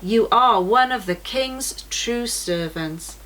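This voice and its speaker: A woman's voice: on the high side, expressive, takes it slowly, rough recording. A woman voicing a kindly, admiring male warrior guide in a children's storybook. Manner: concerned questioning and warm praise. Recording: noticeable background noise.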